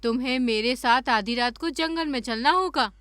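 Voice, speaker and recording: Woman as cartoon golden lizard, female, high-pitched, sharp bright tone, cunning, menacing, boastful, theatrical. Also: moderate pace, dry recording, studio quality. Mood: sly, taunting. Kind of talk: Hindi cartoon story dubbing.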